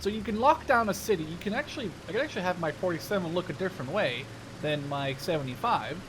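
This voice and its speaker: A man in his twenties with a rather high-pitched voice commentates a game in a casual, informal way. He rambles and drifts into storytelling asides. Vehicle and game noise runs faintly behind his voice. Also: expressive, fast talker. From Netherlands. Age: 20s. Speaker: gaming video host.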